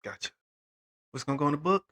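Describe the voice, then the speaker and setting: A man's voice: on the high side, fast, bright, forceful tone. Laid-back man with short hesitant answers. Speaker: husband of the guest. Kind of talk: casual podcast conversation.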